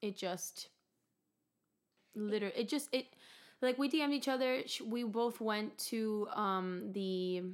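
A young woman tells a story in a loose, chatty way and laughs often. Her voice has a middle pitch for a woman. The room adds a noticeable echo. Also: slow. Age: young adult. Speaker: podcast co-host. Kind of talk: casual chat. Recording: echoey room.

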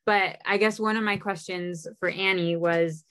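Enthusiastic young woman, halting speech. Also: fairly low pitch, steady tone, a bit bright. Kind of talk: casual conversation.

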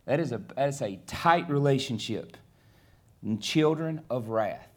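A middle-aged man from Alabama with a Southern US accent, preaching a sermon in a conversational, halting way with many fillers. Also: medium pitch, animated. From Alabama, USA. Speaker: guest preacher. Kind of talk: sermon with scripture reading.

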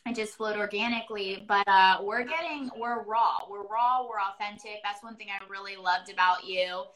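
A woman's voice: medium pitch, moderate pace, fairly bright tone, poor audio. A woman hosting a podcast interview, talking in an effusive, rambling, casual way. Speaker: podcast host.

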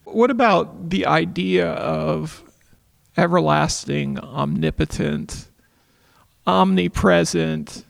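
A man with a raspy, heavily gravelly voice talks through faith topics as a podcast co-host. He sits a little high for a man and keeps restarting his sentences as he searches for words. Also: slow. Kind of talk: casual faith discussion.

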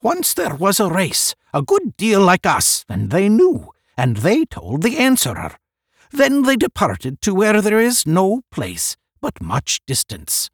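A man voicing an excitable cosmic creature, crying out urgently in a science fiction audiobook. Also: sits high, slow pace, few pauses, slightly rough, no echo, clean studio sound, voice pushed up, full character voice. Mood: proclaiming. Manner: urgent, declamatory shouting.

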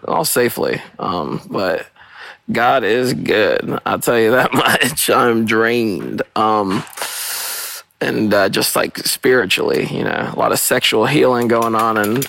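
Solo podcast host, young man, hoarse and gravelly, rambling and cursing outdoors on a portable mic with dogs around. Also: medium pitch, fairly bright tone. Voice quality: rough, gravelly voice. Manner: rambling, profane, spiritual asides. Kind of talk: casual solo monologue. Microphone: portable, not studio quality. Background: dogs playing.

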